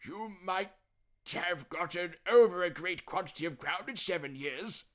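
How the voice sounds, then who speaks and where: Nervous old male miser performed by a man, raised pitch, faltering, pleading, deferential, storybook acting. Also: measured pace, a bit bright. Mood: hesitant, deferential. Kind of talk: theatrical audiobook character acting.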